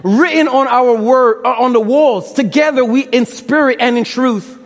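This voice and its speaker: Young man, Brooklyn accent, preacher, passionate sermon, very high voice, echoing large hall. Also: normal speed. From Brooklyn, New York. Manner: impassioned, rhetorical questions, casual slang. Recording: long reverb in a large hall.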